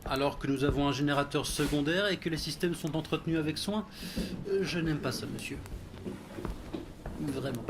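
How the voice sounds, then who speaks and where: An actor playing a fussy, devoted butler in an audio drama, speaking in a formal, wordy, deferential way. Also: male voice, a little high, moderate pace, pauses often, background noise, natural voice. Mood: uneasy, indignant.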